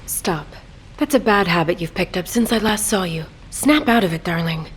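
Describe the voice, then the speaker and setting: A woman's voice: lowish voice, lively intonation, normal speed, husky. A woman playing a sly, worldly boss and tough-love mentor in a video game, teasing and commanding. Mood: firm, teasing.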